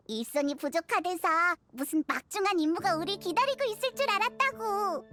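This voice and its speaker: Game sidekick: small excitable fairy girl, extremely high and squeaky, swinging intonation, nosy and expressive. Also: normal speed, soft background music. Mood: smug, cheerful. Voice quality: childlike, squeaky. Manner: exclamatory, expressive. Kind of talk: animated game dialogue acting.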